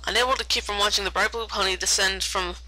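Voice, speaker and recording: A woman's voice: mid-range voice, fairly even intonation, fast, sharp tone, poor audio. A woman reading fan fiction aloud as a storyteller, often stumbling over words and repeating them. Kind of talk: read-aloud story narration.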